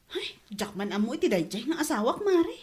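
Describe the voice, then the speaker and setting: A woman's voice: a little high, big pitch swings, fairly quick, a little mellow, slightly rough. A woman with an Ilocano Filipino accent acting a nagging, exasperated wife in a radio comedy drama. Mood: coy, teasing.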